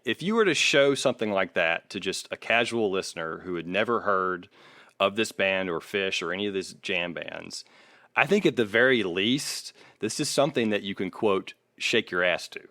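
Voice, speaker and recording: Male podcast host, lower register, analytical and opinionated, casual swearing, dry sound. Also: expressive, normal speed, fairly bright tone, no echo. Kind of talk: casual music critique chat.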